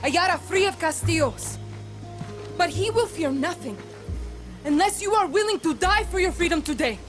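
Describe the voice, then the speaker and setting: A fiery young revolutionary woman. She speaks with commanding conviction, like a game heroine rallying fighters. Loud music plays behind her. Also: very high voice, lively intonation, normal speed. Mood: defiant. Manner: commanding, earnest. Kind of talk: dramatic video game acting.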